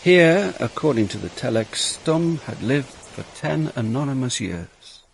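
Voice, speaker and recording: An actor playing a world-weary, middle-aged police inspector, narrating his case in a measured, dry, reflective radio drama style. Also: mid-range voice, big pitch swings, vocal fry, a little hiss or hum, rough recording. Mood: brooding, observant. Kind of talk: radio drama, first-person detective narration.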